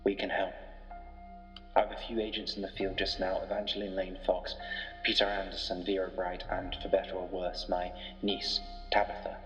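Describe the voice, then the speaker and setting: An actor playing a grave, measured leader of monster-hunting agents in an ominous audio drama trailer. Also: male voice, sits low, flat delivery, fairly bright tone, faint music behind. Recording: muffled, distant, long hall reverb.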